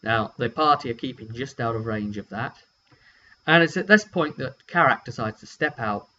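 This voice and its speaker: A man's voice: medium pitch, moderate pace. A British man rambling hesitantly and chattily through a casual, unscripted recap of a tabletop game session, as its game master. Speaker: game master and vidcast host. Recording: dull lo-fi recording with steady hum.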